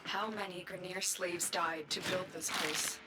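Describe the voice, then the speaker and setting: A woman playing a calm, authoritative mentor in a video game, speaking in a measured, serious, instructive way. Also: lowish voice, very noisy, close to an everyday voice. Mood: composed, grave. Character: calm, authoritative female mentor guiding warriors. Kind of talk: video game character acting. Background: music.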